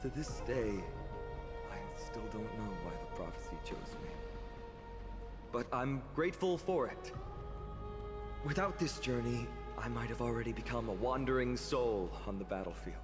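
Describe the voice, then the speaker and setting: A man's voice: mid-range voice, close to an everyday voice. A man voicing an earnest young male swordsman hero in a video game, speaking sincerely and invitingly. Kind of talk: game voice acting. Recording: game audio with long reverb over music.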